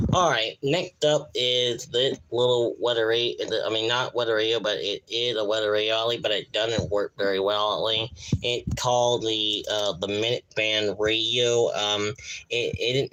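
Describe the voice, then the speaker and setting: A man casually presenting on a live stream in a halting, repetitive way, often losing his thread. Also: on the low side, fairly even intonation, sharp tone, rough recording. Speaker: live streamer showing a radio collection.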